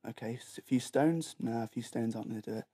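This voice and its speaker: A man's voice: medium pitch, fast, dark tone, slightly rough. A young adult British man telling an anecdote in a casual, slangy way, quoting his own thoughts as he goes.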